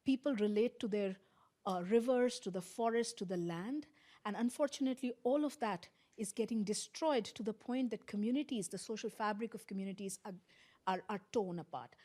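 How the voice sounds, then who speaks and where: A woman speaks as a panelist, giving testimony from her own work. Her delivery is halting, and she often searches for the next word. Her pitch sits in the middle range for a woman. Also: darkish tone. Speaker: panelist, community environmental health worker.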